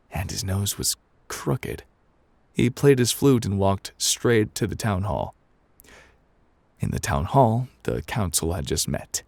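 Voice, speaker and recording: A man voicing a gruff, indifferent game character who reads a bedtime story in an offhand ASMR narration style with dramatic pauses. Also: low-pitched, darkish tone, no echo, full character voice.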